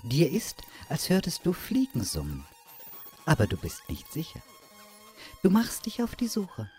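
An actor playing a mysterious narrator who guides the listener in a hushed, suggestive way in an audio drama. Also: medium pitch, huge pitch swings, measured pace, faint music behind. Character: mysterious narrator guiding the listener, addressing 'you'. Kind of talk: atmospheric second-person audio drama narration.

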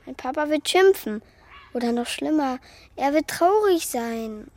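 A woman's voice: high voice, animated, unhurried. A woman playing a small, naive living puppet boy in a children's radio play, earnest and plaintive. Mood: sad, ashamed.

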